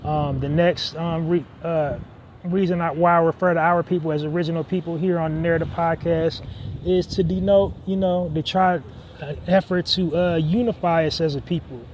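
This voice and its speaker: A man's voice: fairly high pitch, steady tone, moderate pace, a little mellow, slight background noise, low-quality recording. Rambling man podcasting outdoors. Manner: rambling, many fillers and restarts. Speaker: podcast host. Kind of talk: casual solo podcast monologue.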